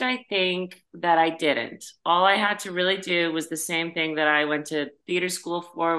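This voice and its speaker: Woman, bright forceful tone, lowish pitch, casual podcast guest, expressive, emphatic.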